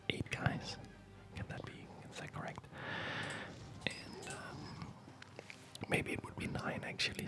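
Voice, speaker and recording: Someone whispering breathy ASMR commentary while playing a video game, musing aloud in short, halting phrases. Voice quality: breathy, mostly unvoiced whisper. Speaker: gamer narrating own gameplay.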